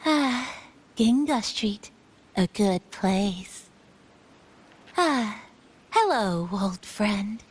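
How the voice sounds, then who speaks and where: A woman voicing a cute, gentle, nostalgic mascot spirit in a video game, speaking softly and playfully. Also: medium pitch, lively intonation, extremely slow, long pauses. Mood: wistful, fond.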